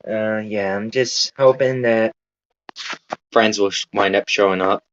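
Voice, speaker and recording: A man with a thick American Southern drawl chats loosely with his livestream viewers and swears now and then. His voice sits on the low side, and the recording sounds dull and lo-fi. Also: steady tone, a little slow. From Southern United States. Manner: rambling, laid-back, casual swearing. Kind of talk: casual livestream chat with viewers.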